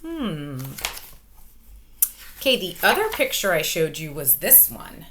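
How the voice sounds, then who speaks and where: Bright, animated woman reading to children. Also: lowish voice, takes it slowly, a little hiss or hum. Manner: expressive, emphatic, question-asking, child-directed delivery. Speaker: elementary school teacher. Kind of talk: children's picture book read-aloud with commentary. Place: small furnished room at home, indoors. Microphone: webcam or laptop microphone at arm's length.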